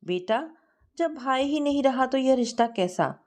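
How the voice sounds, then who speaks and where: A woman's voice: high voice, lively intonation, a little slow, darkish tone, voice pushed up. A woman narrator voicing a persuasive older mother who reasons with her son and presses him to marry. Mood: insistent. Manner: coaxing, reasoning.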